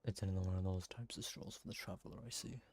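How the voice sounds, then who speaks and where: A man's voice: low voice, steady tone, fast talker, creaky, darkish tone, slight background noise. A man giving casual play-along commentary while streaming a video game.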